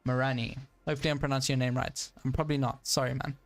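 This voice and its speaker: A man's voice: mid-range voice, little pitch movement, rapid-fire. A young man with a South African accent, casually commentating a live stream of his tank game and greeting viewers in chat, with plenty of ums and restarts. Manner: casual, thinks aloud, many ums and restarts.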